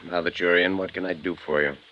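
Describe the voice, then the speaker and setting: An actor playing a big, smug small-town boss in a 1950s American radio drama, smoothly dismissive and quietly threatening. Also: male voice, deep voice, fairly even intonation, fast, dull audio, natural voice. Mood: smug, guarded. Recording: vintage radio recording with faint noise.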